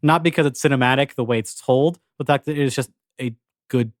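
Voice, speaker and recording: Fast-talking blunt, sweary man. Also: mid-range voice, no echo, clean studio sound. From English-speaking country. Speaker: podcast co-host. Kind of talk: casual chat.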